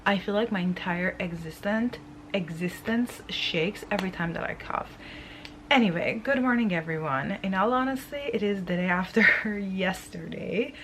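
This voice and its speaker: A young woman with a raspy voice, sick with the flu, chatting casually to camera in a tired, rambling way. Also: medium pitch, moderate pace, a bit bright, reverberant, slight background noise. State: sick with flu, hoarse throat. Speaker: lifestyle vlogger. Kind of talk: casual vlog chat to camera. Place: indoors at home.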